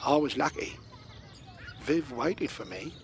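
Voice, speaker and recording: A man's voice: mid-range voice, animated, slow pace, slight background noise. An actor playing a weary, fatherly older ex-convict, grave and plain-spoken in a radio play. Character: weary, fatherly old ex-convict giving stern advice. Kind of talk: radio comedy-drama acting.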